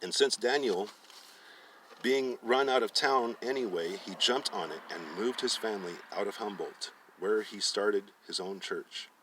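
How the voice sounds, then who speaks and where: A man's voice: on the low side, unhurried, a bit bright, recorded from a distance, a little hiss or hum, rough recording. A man narrating fiction aloud as a storyteller, often stumbling and rereading phrases. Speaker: storyteller reading a book aloud.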